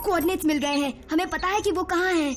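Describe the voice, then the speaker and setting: A woman's voice: very high-pitched, fast talker, music in the background. A woman voicing a nervous, earnest young kid in a Hindi cartoon dub. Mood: worried.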